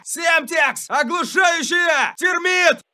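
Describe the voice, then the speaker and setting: An actor playing a Russian special forces soldier giving short, urgent combat warnings for a military game. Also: male voice, very high-pitched, takes it slowly, sharp tone, raised voice, heavily acted. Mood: frantic shouting.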